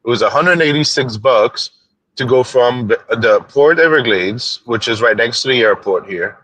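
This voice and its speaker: Bright, dry-voiced Canadian man. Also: mid-range voice, expressive, dry recording, poor audio. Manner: halting, restarts often. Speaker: rideshare podcast co-host. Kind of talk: casual live-stream chat.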